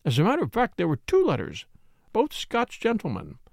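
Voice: medium pitch, huge pitch swings, fairly quick, vocal fry, darkish tone, dry recording, studio quality, voice pushed up, exaggerated voice. A reader voicing a fussy, tearful lady who talks in a fluttery, rambling, self-pitying way. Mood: aggrieved. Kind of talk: audiobook character reading.

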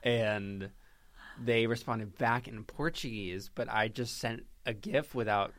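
A man's voice: medium pitch, takes it slowly, dry recording. A man co-hosting a podcast in a casual, playful, jokey way. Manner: playful, animated. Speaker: podcast co-host. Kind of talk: casual comedic banter.

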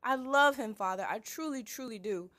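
Donna che interpreta una ragazzina nobile petulante, voce acutissima. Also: female voice, a little high, moderate pace, a bit bright, voice pushed up, lightly acted. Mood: lovesick pleading. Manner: wailing, dramatic, insistent. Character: petulant lovestruck young noble girl, tearfully insistent. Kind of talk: acted audiobook-like reading from a novel.

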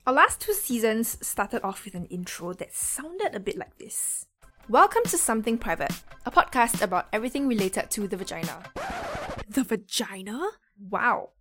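A woman hosting and producing a podcast, reading a measured, earnest intro and then chatting with her guest. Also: medium pitch. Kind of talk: scripted podcast intro then conversational interview.

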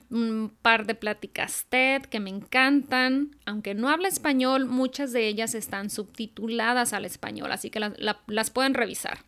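A woman hosting her podcast, talking in a chatty, animated way with fillers and self-corrections. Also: on the high side, clean tone. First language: Spanish. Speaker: podcast host. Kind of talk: casual monologue.

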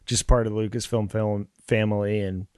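A man's voice: on the low side, flat delivery, a little fast, a little mellow, clean tone, no echo, clean studio sound. A man speaking as a podcast panelist in a casual, conversational review discussion.

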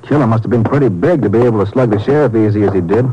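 Actor as a folksy lowish-voiced ranger sidekick, hissy dull old radio play. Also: male voice, rapid-fire, close to an everyday voice. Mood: easygoing. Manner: casual, folksy. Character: easygoing ranger partner. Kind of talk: 1950s radio drama acting. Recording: noisy muffled old radio broadcast.

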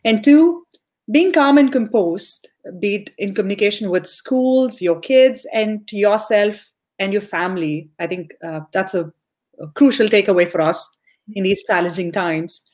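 Young woman hosting an interview, medium pitch. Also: moderate pace, a little mellow. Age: young adult. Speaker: podcast host. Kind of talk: conversational interviewing.

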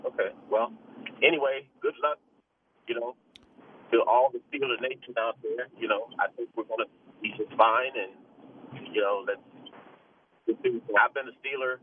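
A man's voice: medium pitch, steady tone, normal speed, long pauses. A middle-aged or older American man from Pittsburgh calling a sports radio show on the phone, chatting about football in a rambling way. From Pittsburgh, USA. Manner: rambling, peppered with "you know". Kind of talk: casual football talk. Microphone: telephone. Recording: tinny, noisy phone line.

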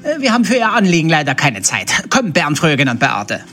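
Comic audio play gang detective, male actor: fussy, dismissive, high, swooping intonation. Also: huge pitch swings, brisk, sharp tone, exaggerated voice. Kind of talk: comedic audio drama.

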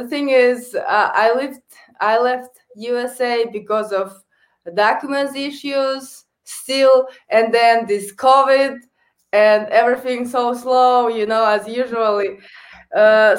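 A young adult woman from Kazakhstan, a native Kazakh speaker with a Kazakh accent, answering interview questions hesitantly in English as a hockey coach. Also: on the high side. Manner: hesitant, searching for words in non-native English.

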